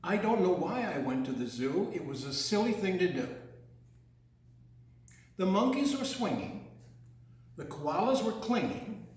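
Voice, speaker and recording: A man's voice: high-pitched, very animated, a little mellow. An older man reading a picture book aloud to children in a theatrical, singsong way, with teasing asides. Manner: theatrical, singsong, drawn-out delivery with teasing questions. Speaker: children's storyteller. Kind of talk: read-aloud picture-book story with playful asides to young listeners. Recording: very echoey, reverberant room.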